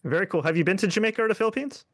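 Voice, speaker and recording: Man, interview host, slightly high voice, upbeat, friendly, repeats words. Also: animated, rapid-fire, fairly bright tone, no room sound, studio quality. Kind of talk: interview questions.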